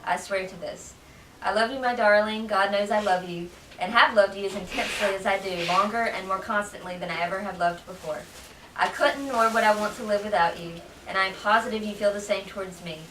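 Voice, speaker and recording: A woman gives a dramatic reading of old letters at a live event. Her voice is bright and sits at a middle pitch. The recording is echoey and picked up from a distance, with faint noise. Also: brisk. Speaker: reader at a public library event. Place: library room, reading to a small gathering.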